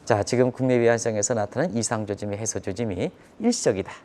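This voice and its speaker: A man's voice: fairly low pitch, fast talker, dark tone. A man giving live economic commentary on a broadcast in an emphatic, explanatory manner, posing rhetorical questions.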